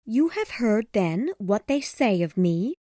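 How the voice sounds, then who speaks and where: A man's voice: high voice, sing-song, takes it slowly, warm tone, no echo, studio quality, voice pushed up, full character voice. A man voicing an anxious sorrowful young woman. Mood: anxious pleading. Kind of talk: audiobook character voice.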